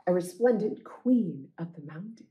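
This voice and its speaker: A woman reading picture books aloud for a children's storytime, with deliberate, expressive phrasing. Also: on the low side, highs cut off.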